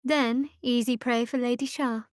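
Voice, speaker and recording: Woman doing a sardonic young priestess of a dark goddess for a video game, kinda high, guarded n clipped, very dry sound. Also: fairly even intonation, unhurried, dry recording, natural voice. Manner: dry, aloof, clipped sarcasm with earnest undertones. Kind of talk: video game character voice acting.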